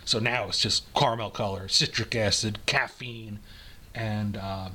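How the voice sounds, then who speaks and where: An older man with an American accent and a husky voice, reading aloud and chatting in a rambling, nostalgic way. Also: fairly low pitch, steady tone, a little slow, sharp tone, a little hiss or hum. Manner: rambling, nostalgic, conversational asides. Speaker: amateur reader and host. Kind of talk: dramatic reading of old recipes with casual reminiscing.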